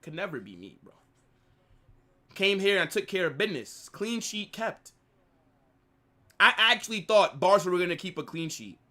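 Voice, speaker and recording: A man hosts a live football watch-along, talking casually and answering his chat. His voice sits high and sounds bright and sharp, swinging up and down as he reacts. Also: normal speed, pauses often. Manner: animated banter, reading chat aloud. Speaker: live stream host.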